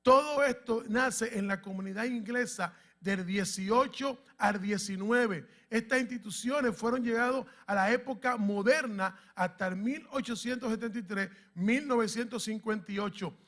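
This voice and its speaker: A man's voice: high-pitched, moderate pace, few pauses, fairly bright tone. A man from the Dominican Republic and Puerto Rico, preaching and teaching a congregation, often putting questions to them. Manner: emphatic, asks the congregation questions. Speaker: pastor.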